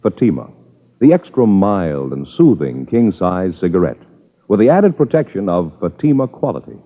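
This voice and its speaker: A man's voice: low-pitched, sing-song, normal speed. A man announcing a 1950s radio advertisement with a polished, emphatic sales delivery. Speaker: commercial announcer. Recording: muffled reverberant vintage radio recording.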